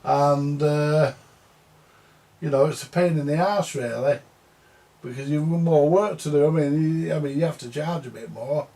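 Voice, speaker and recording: An older man with a rough, gravelly voice sitting a touch high for his age. He rambles through a casual monologue, grumbling, circling back and repeating himself, with the odd swear word thrown in. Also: moderate pace, mellow. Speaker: vintage audio repairer.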